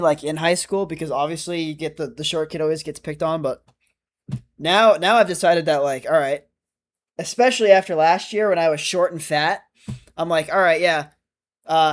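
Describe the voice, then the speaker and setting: A man of twenty-five chatting casually on a livestream, answering viewer comments in a rambling, self-correcting way. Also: a little high. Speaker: streamer.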